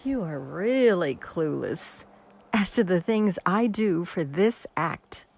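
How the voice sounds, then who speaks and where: An actress playing a weary, long-suffering magician's assistant in a radio mystery play, frank and reproachful. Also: female voice, on the low side, animated, takes it slowly, creaky, a little mellow, exaggerated voice.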